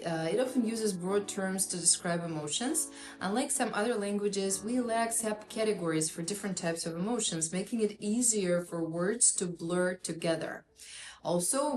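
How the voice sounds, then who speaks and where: A woman therapist with a Russian accent gives an earnest, explanatory talk, with frequent fillers. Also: mid-range voice. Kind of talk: educational talk to camera. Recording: echoey room with faint music.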